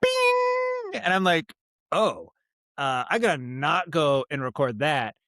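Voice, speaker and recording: A man speaking as a guest in a casual interview, telling stories in an animated, halting way with asides and vocal sound imitations. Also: fairly high pitch, no echo, studio quality. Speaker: guest, audio drama writer and director. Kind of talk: casual podcast interview, storytelling.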